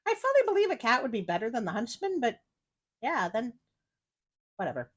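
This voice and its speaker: A woman's voice: a little high, very animated, fairly quick. A woman reading a fairy tale aloud as a storyteller, breaking off for casual, sardonic asides. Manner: sardonic, wry commentary. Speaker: storyteller, host of a story show.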